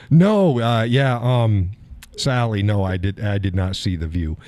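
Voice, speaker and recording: A man hosting a live call-in stream, talking in a calm, easygoing way and chuckling often. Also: on the low side, slow pace, dry recording. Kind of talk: casual chat.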